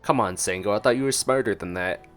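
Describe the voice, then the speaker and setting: A man's voice: fairly low pitch, fast, fairly bright tone. A man doing casual gameplay reaction commentary as a streamer, playful and joking as he reads and responds to dialogue. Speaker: gaming streamer. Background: game music.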